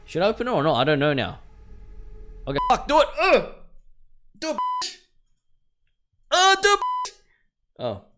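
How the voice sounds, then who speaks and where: A man's voice: extremely high pitch, moderate pace, long pauses, background noise. A man hosting a gaming video, reacting casually and with swearing as he reads a horror visual novel aloud. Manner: profane, expressive reactions. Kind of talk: casual let's play commentary mixed with reading game text aloud.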